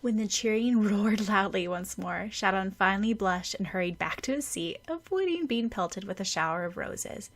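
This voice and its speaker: A woman's voice: mid-range voice, animated, normal speed, hardly any pauses. A woman reading scripted story narration as an audiobook narrator.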